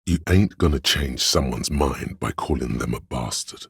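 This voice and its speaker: A man voicing a pompous, opinionated inner voice of artistic imagination in a video game, narrating theatrically and deliberately in a very deep, gravelly, husky voice. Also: fairly bright tone, no room sound, studio quality, full character voice. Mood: critical, disdainful. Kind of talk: dramatic second-person game narration.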